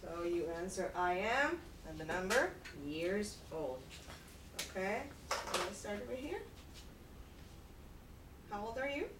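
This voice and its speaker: A woman teaching English to a class, speaking slowly and patiently and repeating phrases for learners. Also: medium pitch, big pitch swings. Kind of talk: classroom instruction. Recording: echoey room, far mic, noisy.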